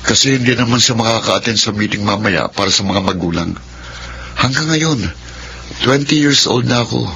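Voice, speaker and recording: A man in his twenties playing a neglected, earnest son, polite and quietly resentful, in a Filipino radio drama. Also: lowish voice, moderate pace, gravelly, slight background noise. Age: 20s. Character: neglected, earnest twenty-year-old son of wealthy father.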